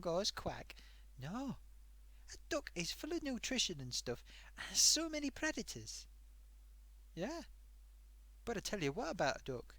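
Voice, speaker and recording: A man's voice: on the high side, a bit bright, no echo, heavily acted. A man voicing a chatty sock puppet host who rambles off topic and talks to viewers, his throat getting sore. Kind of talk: rambling comedic live-stream monologue.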